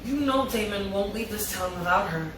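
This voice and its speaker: A woman's voice: medium pitch, moderate pace, background noise. A woman reading character lines from a script in a casual dramatic way, in her everyday voice. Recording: heavily echoing, distant mic, background music. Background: music.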